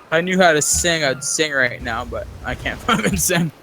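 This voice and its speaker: A man's voice: fairly high pitch, lively intonation, moderate pace, bright, forceful tone. A man with a scratchy voice chatting casually and rambling as he hosts a video game let's play on a basic home microphone. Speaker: gaming let's-play host. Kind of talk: casual rambling gameplay chat. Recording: noisy home microphone recording.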